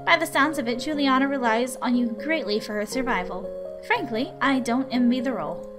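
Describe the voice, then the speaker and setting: Girl voicing a proper, dutiful tutor in a visual novel, high voice, music behind. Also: fairly quick, close to an everyday voice. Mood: resigned, dutiful. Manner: formal, precise wording. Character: formal, dutiful, proud visiting tutor named Autumn.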